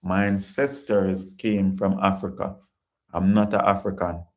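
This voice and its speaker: A man's voice: low-pitched, level tone, unhurried. A Jamaican man hosting a casual live-stream chat, reading viewer comments and talking in an earnest, repetitive, preachy way.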